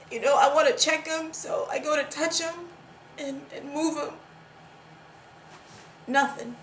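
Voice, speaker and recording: An actress playing a guilt-ridden woman confessing a long-held secret in a dramatic monologue, halting and repeating herself. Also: on the high side, a bit bright. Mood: distressed. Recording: echoey room with clear background noise.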